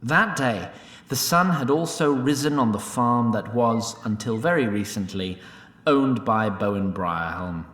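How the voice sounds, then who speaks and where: A man's voice: mid-range voice, expressive, unhurried, a bit bright, reverberant. A man narrating a scripted fantasy tale in a theatrical, mock-grand and droll storyteller's manner.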